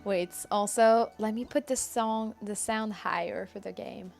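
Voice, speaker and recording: A woman's voice: mid-range voice, steady tone, a little slow, creaky, a little mellow, soft background music. A woman game streamer chatting casually and reactively to her live viewers over gameplay.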